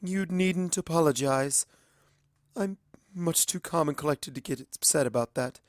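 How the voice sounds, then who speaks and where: An immortal alicorn princess, acted by a male narrator, speaking formally and slowly in a raised pitch. She carries old sorrow with regal restraint, as in a storybook read close and dry. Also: dry recording, close to an everyday voice. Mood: somber, formal. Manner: formal, measured speech.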